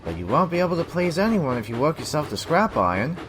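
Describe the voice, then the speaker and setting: Animated, sensible railwayman, played by a man. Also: on the high side, fast talker, noisy background. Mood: scolding. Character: sensible, mildly scolding steam engine crewman. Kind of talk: children's audio drama acting.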